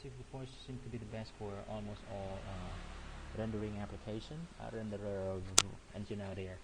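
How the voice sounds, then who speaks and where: Guy doing a software tutorial, lowish voice, lots of ums, hiss in the background. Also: fairly even intonation, normal speed. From Cambodia. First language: not English. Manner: hesitant, thinking aloud with frequent fillers and restarts. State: coughing now and then. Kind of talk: screen-recorded software tutorial.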